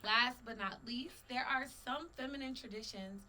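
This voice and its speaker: Bright, emphatic woman. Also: on the high side, normal speed, a little hiss or hum, rough recording. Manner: emphatic, lively. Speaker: panel guest. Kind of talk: casual panel chat.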